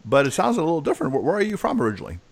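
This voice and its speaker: A chatty American man hosts a podcast interview. His voice sits a little high and moves around a lot in pitch. He speaks in an animated, conversational way. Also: racing, gravelly. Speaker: host, clinical psychologist and speaker.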